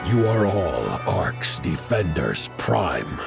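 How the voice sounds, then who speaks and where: An actor playing a solemn, weary general in a video game cutscene, speaking formally and deliberately. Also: male voice, low-pitched, very animated, creaky, over music, heavily acted. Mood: grave, resolute. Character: solemn, weary male general facing his end, grave and regal.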